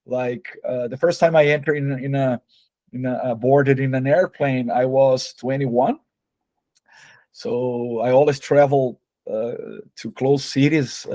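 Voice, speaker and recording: A young Brazilian man with a Brazilian Portuguese accent, a guest in an interview who hesitates, repeats words and fills pauses, with Portuguese as his first language. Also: medium pitch, dark tone, no echo. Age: young adult. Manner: hesitant, repeats words and uses many fillers. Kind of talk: casual interview.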